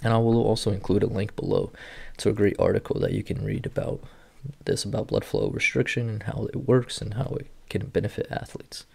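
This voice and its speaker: A man in his thirties, a native Portuguese speaker, who works with rehab athletes and calmly walks viewers through training clips, recorded indoors on a webcam. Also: lowish voice, fairly quick, vocal fry, husky. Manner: steady, instructive walk-through of clips. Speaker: sports rehab clinician. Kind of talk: explanatory video commentary. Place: indoor room.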